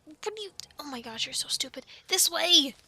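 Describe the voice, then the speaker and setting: A woman's voice: high voice, lively intonation, normal speed, heavily acted. A woman playing a quirky, awkward young animal scientist stranded on an island, stammering and rambling in an ASMR audio roleplay. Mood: relieved, breathless. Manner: stammering, rambling, flustered, urgent hushing in whispers.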